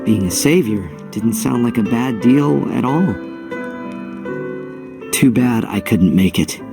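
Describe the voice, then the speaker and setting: A man's voice: mid-range voice, lively intonation, takes it slowly, creaky, over music. An actor playing an earnest, wistful young male hero, a resolved outcast, with reflective, solemn delivery in a video game cutscene.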